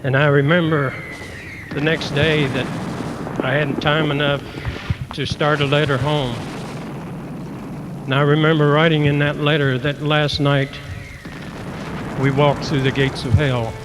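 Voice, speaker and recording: A man in his eighties with a rural American accent, recalling his past in a halting, grave way as a veteran. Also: a little high, little pitch movement, noisy background. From rural United States. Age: 80s. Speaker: war veteran. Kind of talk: personal reminiscence. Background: music.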